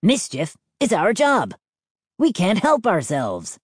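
A woman voicing a small male mischief gnome in a children's audiobook, raspy, solemn and pleading. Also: medium pitch, wildly swooping pitch, slow, no echo, clean studio sound, pitched above the performer's own voice, heavily acted. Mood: matter-of-fact. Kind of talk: audiobook character voice.

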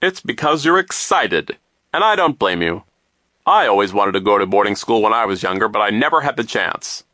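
A man acting a loud, pompous, oblivious banker. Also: fairly low pitch, sing-song, moderate pace, dry recording, studio quality. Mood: self-satisfied, reassuring. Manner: self-satisfied, hearty, emphatic. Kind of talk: audiobook character voice.

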